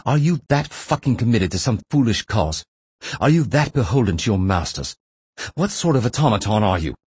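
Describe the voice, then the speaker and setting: A man's voice: low-pitched, normal speed, darkish tone, slightly rough, no room sound. A man voicing a young Russian interrogator in an audiobook thriller, scornful, frustrated and menacing, taunting with rhetorical flair. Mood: incredulous contempt.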